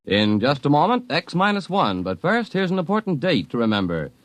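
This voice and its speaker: A man's voice: on the high side, sing-song, brisk, no echo, faint music behind. A man reading a polished, formal network promotion in 1950s radio announcer style.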